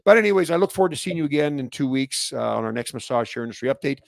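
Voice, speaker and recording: A man's voice: medium pitch, animated, rapid-fire, creaky, no echo, clean studio sound. A middle-aged Canadian man with a Canadian accent hosting a product industry update, talking unscripted with many fillers and restarts, and getting fired up as he rambles. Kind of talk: unscripted solo monologue.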